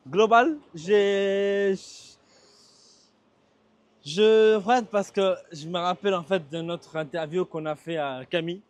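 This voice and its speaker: High-voiced joking young male interviewer. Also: takes it slowly. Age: 20s. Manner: joking, rambling, repeats himself.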